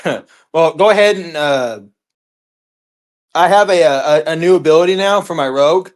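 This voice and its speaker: A man's voice: fairly high pitch, moderate pace. A man chatting casually as a player in a tabletop role-playing game.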